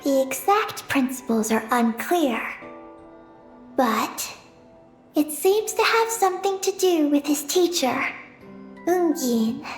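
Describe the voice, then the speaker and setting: Solemn girlish game character, high voice, calm halting exposition, roomy echo. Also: faint music behind. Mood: solemn, explanatory. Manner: measured, halting, matter-of-fact exposition. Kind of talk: video game voice acting.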